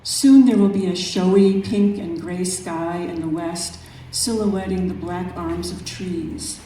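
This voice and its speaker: A woman poet reading her own work at a live event, in measured, deliberate phrases with pauses. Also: fairly low pitch, fairly even intonation, warm tone. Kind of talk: poetry reading at a live event, with brief spoken introductions. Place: echoey room at an arts event. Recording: very echoey room, distant mic, music.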